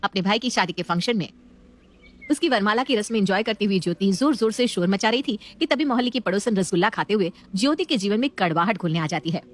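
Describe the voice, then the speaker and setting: A woman's voice: medium pitch, expressive, fast. A woman reading scripted story narration as the narrator of a family tale.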